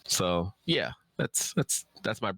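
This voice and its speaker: Male author for a casual Q&A; deep voice, dry close sound, informal rambling delivery. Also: very animated, slow pace, fairly bright tone, no echo. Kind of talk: casual chat, answering audience questions.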